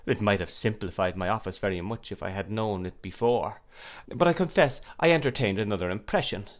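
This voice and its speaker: A man's voice: on the low side, a little fast, lightly acted. A man voicing a shrewd, grave middle-aged lawyer who questions in a probing, emphatic way.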